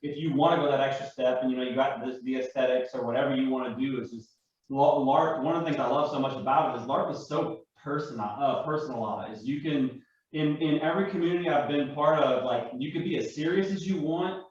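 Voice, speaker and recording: A man with a mid-range voice chats and explains in a wordy, halting manner. He sounds far from the mic in a heavily echoing room, with a dull tone to the recording. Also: medium pitch, darkish tone. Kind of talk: casual explanatory chat.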